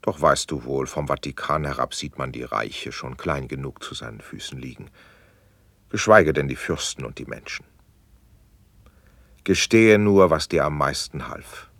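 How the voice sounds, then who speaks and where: An actor playing an authoritative, sceptical prince in a classical verse radio play, formal, commanding and gracious but probing. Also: male voice, a bass voice, measured pace, gravelly, a bit bright, no room sound, exaggerated voice.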